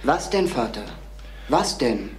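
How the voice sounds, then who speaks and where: Crime radio play: subdued, anxious schoolboy, roomy old recording with faint noise. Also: male voice, medium pitch, very animated, slow. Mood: uneasy. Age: young adult. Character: worried, polite teenage schoolboy son. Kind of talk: German crime radio drama acting.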